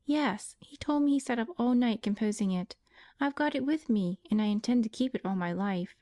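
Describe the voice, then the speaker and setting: A brave young working woman talks soothingly to her sick sister, voiced by a female reader. Her voice sits a bit higher than the narration, mellow and dry, like an old-fashioned storybook. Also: lively intonation, moderate pace, no echo, lightly acted. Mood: fond.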